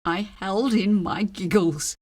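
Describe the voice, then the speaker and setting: A woman reading a children's storybook aloud in an expressive narrating style. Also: mid-range voice, slow pace. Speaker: storybook reader.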